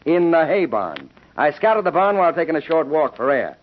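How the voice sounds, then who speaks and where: An actor playing a polished, scheming villain in a 1940s radio western. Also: male voice, fairly high pitch, big pitch swings, normal speed. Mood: cold scheming. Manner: genteel and polished, cold when plotting. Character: smooth scheming villain, middle-aged man. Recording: dull old radio broadcast.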